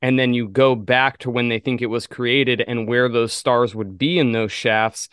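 A man's voice: mid-range voice, fairly even intonation, moderate pace, fairly bright tone, clean tone, dry recording, clean studio sound. A man co-hosting a podcast, explaining things in a casual conversational way. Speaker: podcast co-host.

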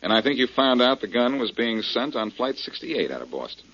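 An actor playing a confident, wry detective with an assertive manner, in 1940s radio drama style. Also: male voice, mid-range voice, very animated, brisk, fairly bright tone, husky. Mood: accusing. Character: confident, wry male amateur detective hero. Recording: dull old radio recording.

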